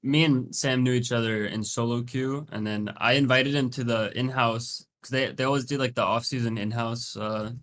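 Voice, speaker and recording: A man's voice: medium pitch, steady tone, normal speed, few pauses, a bit bright. A young man from Iceland without an accent, a college esports player, talking in an easygoing way as a guest on a video call. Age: young adult. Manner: easygoing, laid-back. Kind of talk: casual chat.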